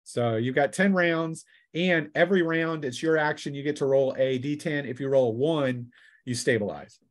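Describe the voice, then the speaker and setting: A man's voice: fairly high pitch, moderate pace, no room sound, studio quality. Man running a tabletop game. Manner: informal, conversational narration of dice combat. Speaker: game master.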